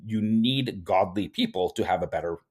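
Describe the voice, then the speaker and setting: A man's voice: sits low, moderate pace, husky. A man reading a history book aloud, stumbling and repeating words now and then, and breaking off into casual spoken asides. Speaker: host reading from a book and commenting.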